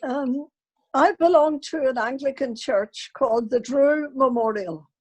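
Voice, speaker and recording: An elderly grandmother with a fairly high voice tells unscripted stories from her life to her family. The woman recounts them as anecdotes, speaking the remembered dialogue as she goes. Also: a little slow, breathy edge. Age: older, elderly grandmother. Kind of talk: unscripted personal storytelling to family.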